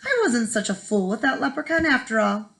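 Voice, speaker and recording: A woman's voice: on the high side, lively intonation, a little fast, fairly bright tone. A woman voicing a lazy, boastful village man in a children's storybook, in a proud, smug manner. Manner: proud, bragging storybook delivery.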